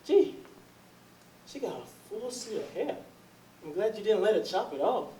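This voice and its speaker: A woman's voice: medium pitch, very animated, unhurried, mellow, slight background noise. A woman playing an earnest, bossy, lovelorn husband in a staged reading with Southern dialect. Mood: tender. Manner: urgent, pleading, commanding. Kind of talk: staged readers theatre, Southern period dialect. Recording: echoey, distant stage recording.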